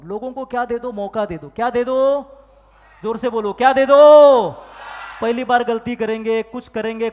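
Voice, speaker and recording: High-voiced trainer in a big hall. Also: male voice. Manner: asks the crowd questions and demands loud answers; acts out phone calls and excuses in dialogue. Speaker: business trainer. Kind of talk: motivational training talk to an audience. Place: large hall with live audience. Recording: long hall reverb.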